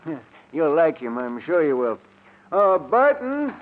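An actor playing a proud, avuncular elderly inventor in a 1940s radio drama. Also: male voice, on the high side, very animated, measured pace, slight background noise. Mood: genial, welcoming. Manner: avuncular, chuckling, showman-like. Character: genial, proud elderly professor and robot inventor. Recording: tinny, muffled old radio broadcast recording.

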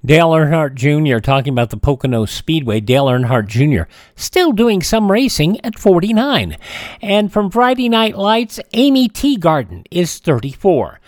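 A man's voice: fairly high pitch, very animated, darkish tone, no room sound, clean studio sound. Chatty man reading history notes. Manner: chatty, fills pauses with uh. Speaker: radio host. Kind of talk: reading this-day-in-history items with asides.

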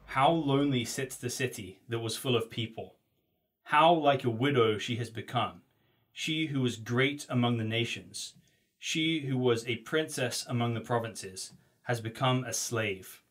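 Podcast host, a little far from mic. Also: male voice, medium pitch, fairly bright tone. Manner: measured reading, then loose questions with fillers. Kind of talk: interview hosting.

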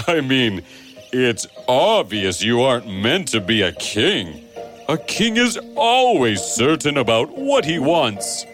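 Guy doing a mocking storybook king dad, slow and drawn out, voice swoops everywhere, music behind. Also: medium pitch, sing-song, a bit bright. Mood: dismissive. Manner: mocking, theatrical, drawn-out delivery. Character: scornful, theatrical king and father. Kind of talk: children's bedtime story drama.

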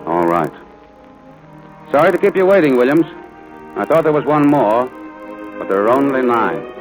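A man's voice: medium pitch, sing-song, moderate pace, close to an everyday voice, poor audio. A man acting a stern, practical rancher father in a 1940s radio drama, blunt and commanding. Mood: stern, insistent. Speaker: actor in a radio play. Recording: vintage 1940s radio recording, music at times.

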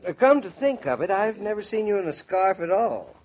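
An American actor playing a wry, indulgent young newlywed husband in a 1940s radio drama, dry and humoring in manner. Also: male voice, high voice, big pitch swings, a little fast, a little mellow. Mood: dry, helpful. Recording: dull 1940s radio recording.

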